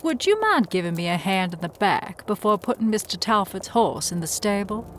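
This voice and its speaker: An actress playing a genteel, chatty frontier wife in a western audio drama, with mannered, drawn-out politeness. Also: female voice, mid-range voice, sing-song, a little hiss or hum, natural voice. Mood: sweetly polite.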